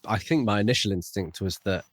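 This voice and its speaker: A man's voice: fairly low pitch, brisk, no echo, clean studio sound. A man co-hosting a podcast, musing and speculating in a casual discussion about a book. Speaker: podcast co-host.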